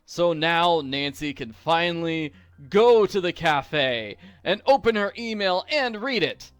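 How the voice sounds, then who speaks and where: Male walkthrough host, higher-pitched, highly animated, playful, theatrical, casual commentary, dry recording. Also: slow pace, clear, resonant tone, no room sound. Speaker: video game walkthrough host.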